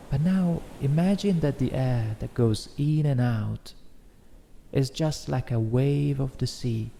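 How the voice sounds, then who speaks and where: A man guiding a meditation in a gentle, soothing, instructional way, reading as scripted narration. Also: medium pitch, vocal fry. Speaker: meditation guide. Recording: faint background noise, ambient music. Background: soft ambient music.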